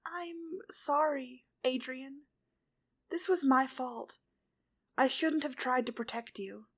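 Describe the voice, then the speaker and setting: Lady reading as a young heroine girl, high voice, cracks with feeling, slow and emotional. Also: slightly rough, heavily acted. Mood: tearful. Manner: emotional, voice rising high and loud. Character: young heroine, fierce yet tender and tearful.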